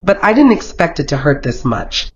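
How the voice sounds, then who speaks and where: A narrator plays a poor young lover in a folktale. He is gentle and steadfast, speaking with quiet earnestness. The sound is dull and lo-fi. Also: mid-range voice, sing-song, normal speed, slight background noise, natural voice. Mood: tender resolve.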